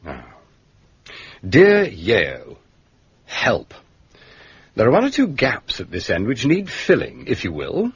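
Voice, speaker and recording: An actor playing an urbane, shrewd gentleman detective in a British radio drama, with an upper-class English accent and polished, drily understated manner. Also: medium pitch, huge pitch swings, takes it slowly, long pauses, husky, no room sound, heavily acted. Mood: brisk, methodical. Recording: muffled, dull radio drama recording.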